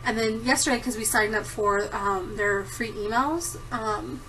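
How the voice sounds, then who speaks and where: A woman's voice: fairly high pitch, measured pace, fairly bright tone, distant mic, slight background noise, rough recording. A woman in her thirties chatting casually to camera, giggling often and rambling with lots of ums. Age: 30s. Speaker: vlogger answering a tag. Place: home room at a desktop computer. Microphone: computer camera mic.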